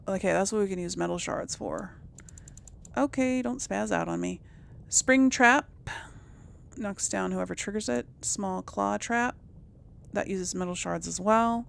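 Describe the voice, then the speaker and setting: Slow, gravelly woman musing over a game. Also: mid-range voice, fairly bright tone, a little hiss or hum. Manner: slow, musing, talks to herself. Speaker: gameplay host. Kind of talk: casual let's play commentary.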